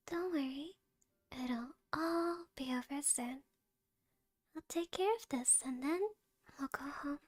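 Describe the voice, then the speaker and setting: A high, girlish yandere acted by a woman speaks slowly and very close in a dry ASMR roleplay. She is shy and hesitant on the surface, with soft breathy whispers. Underneath the sweetness she is possessive and quietly menacing. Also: dry recording. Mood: soothing, sinister. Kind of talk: ASMR audio roleplay, intimate one-sided dialogue.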